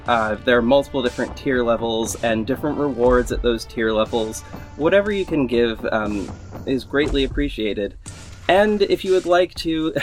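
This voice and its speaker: A man hosting a podcast gives a casual, unscripted update to his listeners in an enthusiastic, informal, somewhat rambling and halting way. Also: medium pitch. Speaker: podcast host and creator. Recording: music under the voice. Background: music.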